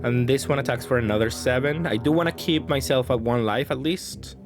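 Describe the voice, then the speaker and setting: A man's voice: mid-range voice, a little fast, over music. A man giving casual card game commentary, thinking aloud and hedging his choices as he plays.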